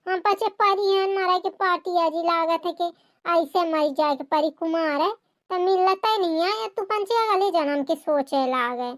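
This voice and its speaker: A woman's voice: extremely high pitch, measured pace. A woman with a Bagheli accent voicing a cheeky, incredulous young unmarried village woman who teases in a comic cartoon. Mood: teasing disbelief.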